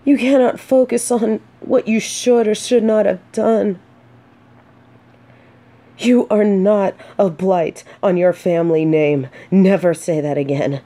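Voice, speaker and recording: A woman playing a gentle, earnest female knight in a fantasy romance audio drama, speaking softly with hesitant, dramatic pauses. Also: mid-range voice, fairly even intonation. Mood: pleading, firm. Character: gentle, earnest female knight consoling a despairing blind companion.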